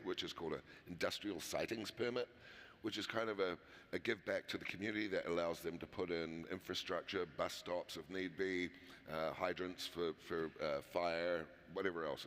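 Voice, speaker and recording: A man's voice: sits low, a bit bright. A man with a New Zealand accent, a company founder talking casually in an interview, with frequent fillers and restarts. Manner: conversational, many fillers and restarts. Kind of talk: conference interview. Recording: long hall reverb.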